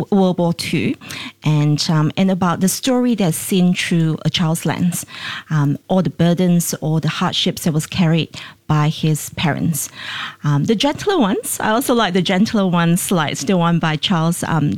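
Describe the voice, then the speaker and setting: A middle-aged woman from Malaysia speaks as a radio interview guest, low in pitch for a woman. She talks in thoughtful stretches, with frequent "um"s and restarts. The studio sound is close and dry. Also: darkish tone, no echo. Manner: thoughtful, many fillers and restarts. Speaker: clinical psychologist and author.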